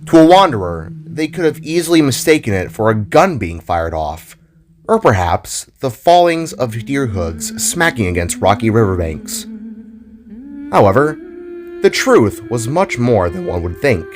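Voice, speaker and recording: A man's voice: medium pitch, very animated, measured pace, slight background noise. A man narrating a scripted dramatic story in a theatrical, storybook manner. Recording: music under the voice. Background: background music.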